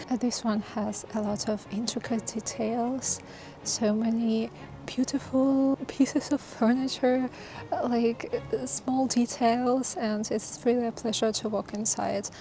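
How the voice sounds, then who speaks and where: A woman's voice: a little high, steady tone, unhurried, gravelly, warm tone, low-quality recording. A woman vlogger giving travel narration and casual commentary on a sightseeing visit.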